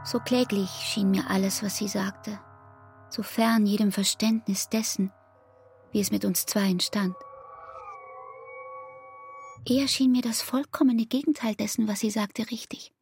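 An actress playing a wounded, earnest young barmaid in love in a literary radio drama, with breathy, hushed, intense and measured phrasing. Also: female voice, medium pitch, fairly even intonation, faint music behind, natural voice. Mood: earnest, hurt.

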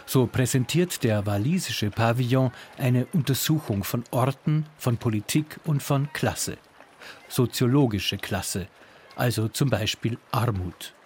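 A man's voice: mid-range voice, a little mellow, a little hiss or hum. A man reading a scripted radio feature as an arts reporter.

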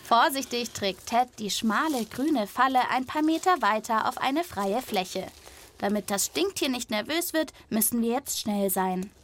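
A woman's voice: a little high, expressive, unhurried, fairly bright tone. A woman narrating a scripted wildlife story for children, with a vivid, playful storytelling manner. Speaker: host of a children's wildlife podcast.

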